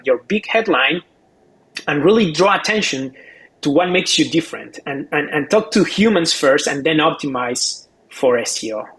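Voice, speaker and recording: A man's voice: on the high side, a bit bright, rough recording. A man giving casual expert commentary as a co-host, explaining step by step and often repeating words as he goes.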